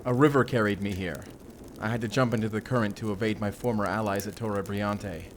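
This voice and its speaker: Male, actor as resolute monster hunter for audio drama; lowish voice, earnest and measured, noisy ambience behind. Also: steady tone, natural voice. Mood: guarded. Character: determined Spanish vampire slayer, adult man, earnest protector. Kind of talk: cinematic audio roleplay acting.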